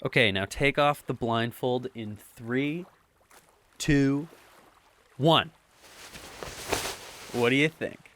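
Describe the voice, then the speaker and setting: A man's voice: medium pitch, slow, long pauses, a bit bright, slight background noise. A young man playing an earnest, bookish, smitten teenage boy in an audio drama, playfully pedantic and slightly hesitant. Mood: shy, smitten.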